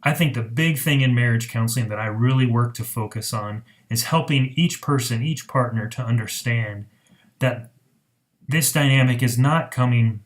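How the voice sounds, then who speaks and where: A man speaking as a marriage counselor in an informal explainer, earnest and reflective, with deliberate pauses. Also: mid-range voice. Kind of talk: informal explainer talk to camera.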